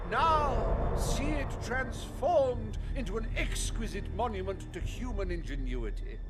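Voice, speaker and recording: An actor playing a smug, greedy industrialist in a video game, speaking in pompous, theatrical oratory. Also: male voice, sits high, expressive, unhurried, loud music behind the voice. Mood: grandiose showmanship.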